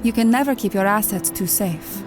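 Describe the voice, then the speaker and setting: A woman's voice: mid-range voice, normal speed, over music, lightly acted. A woman acting a self-assured, teasing crime boss in an audio drama, with a sardonic delivery. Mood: businesslike. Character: cool, teasing female crime boss who hires killers.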